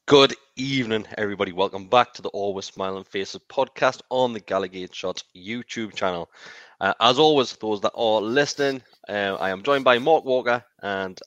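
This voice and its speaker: A man's voice: on the low side, moderate pace, a bit bright, no room sound, dull audio. A man hosting a football podcast in a rambling, casual way, laughing easily. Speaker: podcast host. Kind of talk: casual chat.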